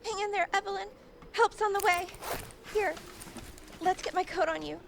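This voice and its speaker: Very high-pitched, anxious young woman. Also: steady tone, normal speed, creaky, background noise. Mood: worried reassurance. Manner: urgent, alarmed. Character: anxious young woman dog walker helping injured friend. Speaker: actor. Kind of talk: audio drama acting.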